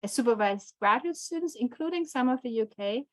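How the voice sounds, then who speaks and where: A woman's voice: fairly high pitch, expressive, fairly quick, no room sound. A woman from Germany with a German accent, giving an online physics lecture with frequent fillers and restarts. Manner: hesitant, many fillers and restarts.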